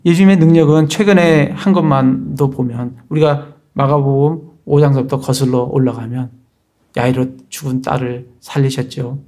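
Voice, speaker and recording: A man preaches in a mellow, warm tone at an ordinary pitch for a man. He talks to the congregation like a conversation and keeps asking rhetorical questions. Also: steady tone, normal speed. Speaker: pastor. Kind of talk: sermon.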